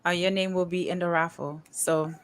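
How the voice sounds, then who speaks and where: A woman's voice: fairly low pitch, steady tone, moderate pace. Chatty woman hosting a livestream. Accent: Caribbean. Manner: chatty, laughs often, uses fillers like "um" and "you know". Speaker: podcast host. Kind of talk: casual livestream chat.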